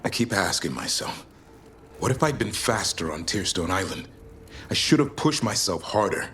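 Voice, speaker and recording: An actor playing a loyal, brave warrior companion in a fantasy game, speaking in a heartfelt way. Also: male voice, low-pitched, very animated, moderate pace, a bit bright, slight background noise. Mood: rueful. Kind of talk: dramatic video game acting.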